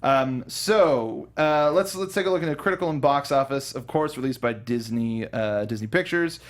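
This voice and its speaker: Young male co-host, mid pitch, loose rambling delivery, lots of "uh" and restarts. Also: normal speed. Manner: rambling, many restarts and fillers. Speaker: podcast co-host. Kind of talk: casual movie review chat.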